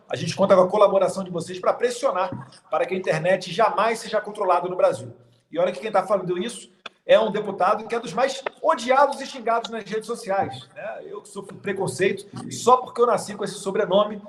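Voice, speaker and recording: Guy hosting a political live stream, highish voice, echoey room, some hiss. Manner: informal, direct, addresses viewers. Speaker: host. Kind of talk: political livestream chat.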